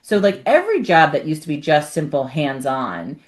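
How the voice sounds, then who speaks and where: Woman podcast host, very low voice, animated casual chat, frequent "right?". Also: normal speed. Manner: animated, keeps checking in with "right?".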